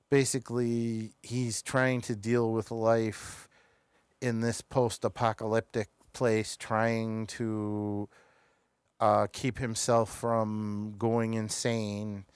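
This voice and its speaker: Clear, smooth-voiced man reviewing television casually. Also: fairly low pitch, no room sound. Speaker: show host reviewing television episodes.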